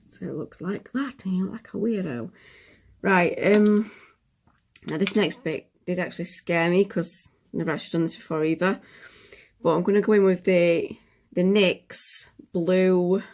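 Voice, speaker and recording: Young woman, sniffly with a cold, gravelly, lowish voice, casual tutorial chat, slips into whispers. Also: darkish tone. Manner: chatty, self-deprecating, drops into whispers while working. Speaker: makeup tutorial vlogger.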